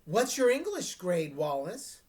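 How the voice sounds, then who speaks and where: A woman's voice: lowish voice, big pitch swings, measured pace, darkish tone. A woman reading the part of a pushy, nosy middle-school boy reporter from a children's novel, in a probing, insinuating way.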